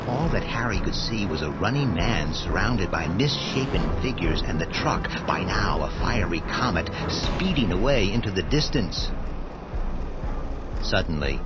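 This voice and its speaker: A man giving dramatic scripted narration for a horror radio drama. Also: fairly low pitch. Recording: dull sound with clear background noise.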